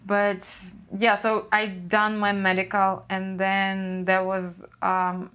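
A young woman from Russia with a Russian accent, chatting as a guest in an interview and telling stories with lively, non-native phrasing, recorded with a lapel mic in a small studio room. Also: medium pitch, slow, clean tone. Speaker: guest, former cruise ship assistant waiter. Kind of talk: casual interview chat.

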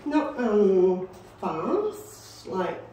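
A woman in her thirties hosting a paranormal investigation, chatting casually and calling out questions to spirits in halting phrases. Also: medium pitch, big pitch swings, dark tone. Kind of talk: casual talk while ghost hunting, questioning spirits aloud. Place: derelict brick building and its grounds at night. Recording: echoey, noisy, distant camera microphone.

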